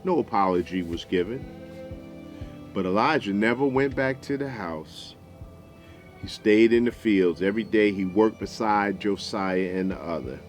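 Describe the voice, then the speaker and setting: An American man hosting and telling a story in a deliberate, folksy, dramatic way. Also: lowish voice, animated. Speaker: storyteller, show host. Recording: music behind the voice.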